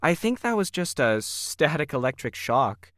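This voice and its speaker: A man's voice: fairly high pitch, sing-song, normal speed, a bit bright, dry recording, pitched above the performer's own voice. A male narrator plays a bold woman hacker in an audio story. She is controlled and defiant, keeping things businesslike and guarded. The recording is dry. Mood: guarded, polite. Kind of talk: audio fiction character dialogue.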